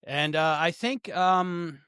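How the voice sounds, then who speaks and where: A man's voice: fairly high pitch, slow pace, bright, forceful tone, clean tone, dry recording, clean studio sound. A man co-hosting a podcast, rambling casually with lots of fillers and restarts. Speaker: podcast co-host.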